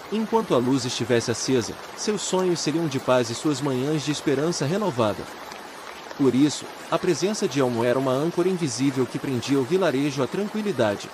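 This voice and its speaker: A man reading a bedtime story as calm, measured, gentle scripted narration meant to soothe listeners to sleep. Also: mid-range voice, background noise. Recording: loud steady rain and water ambience. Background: rain sounds.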